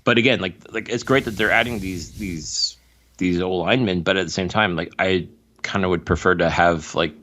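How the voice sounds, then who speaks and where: Low-voiced man talking sports. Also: normal speed, fairly bright tone, slight background noise. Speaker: sports podcast co-host. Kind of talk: casual sports commentary.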